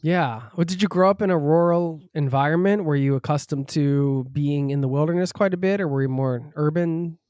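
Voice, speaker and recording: Man, podcast host, a little high-pitched, dry sound, chatty. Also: normal speed, hardly any pauses, darkish tone, clear, resonant tone, no room sound. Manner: curious, chatty questions. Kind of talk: casual interview chat.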